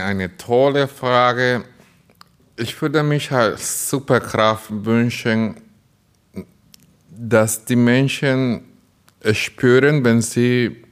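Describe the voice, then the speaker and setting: A man from the Dominican Republic whose first language is Spanish, speaking with a Spanish accent as a guest on a podcast, earnest and slow, often searching for words. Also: mid-range voice. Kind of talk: podcast interview.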